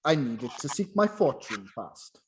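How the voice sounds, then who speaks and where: A man's voice: mid-range voice, big pitch swings, a little slow, a little hiss or hum. A man playing a stubborn, haunted old miser in a radio play, theatrical and exclaiming. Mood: anguished. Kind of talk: amateur radio play acting.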